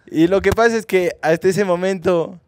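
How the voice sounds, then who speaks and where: A man's voice: high-pitched, moderate pace, a little mellow. A young man with an Ecuadorian Spanish accent, telling jokey, colloquial stories as a podcast co-host on a handheld mic. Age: young adult. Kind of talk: casual comedic storytelling. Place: outdoor rooftop terrace. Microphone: handheld microphone held close.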